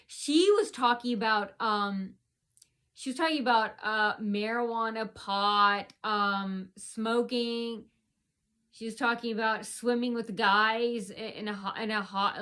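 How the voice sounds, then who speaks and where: A woman vlogger talks on and on to her audience in a fairly high, tense, bright voice. She wanders from point to point and breaks off to restart her sentences. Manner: rambling with many fillers and restarts. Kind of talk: rambling personal monologue.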